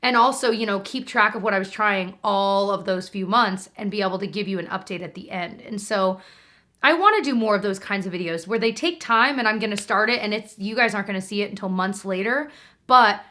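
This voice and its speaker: A woman's voice: mid-range voice, a little fast, sharp tone. A young American woman chatting casually and informally to her viewers as a beauty and lifestyle YouTuber.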